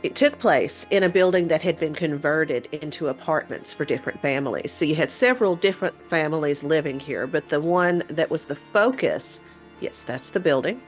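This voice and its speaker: A woman's voice: deep voice, normal speed, faint music behind. A woman co-hosting a paranormal show, telling a story in a conversational way.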